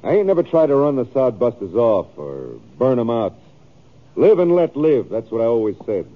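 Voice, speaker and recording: Male actor as self-justifying cattleman, American, folksy, wide swings in pitch, thin old-radio sound. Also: very animated, normal speed, narrow, tinny audio, close to an everyday voice. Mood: insistent. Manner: folksy, ungrammatical. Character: stubborn, self-justifying rough-spoken cattle rancher. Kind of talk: 1950s radio western acting.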